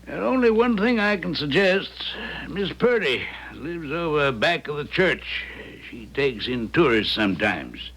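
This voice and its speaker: A man's voice: a little high, very animated, unhurried, a bit bright, full character voice, rough recording. An actor playing a polite, folksy small-town businessman in a 1970s radio drama, plain-spoken and courteous. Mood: apologetic, helpful.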